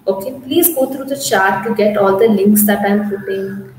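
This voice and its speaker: A woman's voice: medium pitch, moderate pace, darkish tone. Young Indian woman teaching on a video call. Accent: Indian English. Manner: chatty, reassuring, many fillers and "okay"s. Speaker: online English teacher. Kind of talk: live webinar lesson. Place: small room indoors. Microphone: laptop webcam mic on a video call. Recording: echoey room, faint background noise.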